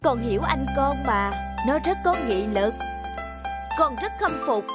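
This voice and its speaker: Tender grateful cartoon woman, high voice, loud music behind. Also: lively intonation, unhurried. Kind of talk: children's cartoon drama acting.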